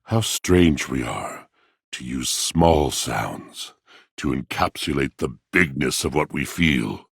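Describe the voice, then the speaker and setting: An actor playing a brooding, melancholy, self-pitying machine in a video game, speaking florid, poetic lines in a grand theatrical manner with a gravelly voice. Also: male voice, a bass voice, expressive, slow pace, no echo, studio quality, heavily acted. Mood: melancholy, musing. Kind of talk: video game character acting.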